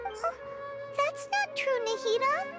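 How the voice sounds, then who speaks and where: A woman voicing a small, excitable, childlike fairy companion in a video game, squeaky, bubbly and blunt. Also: slow pace, over loud music, heavily acted. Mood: eager. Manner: exclamatory, bubbly.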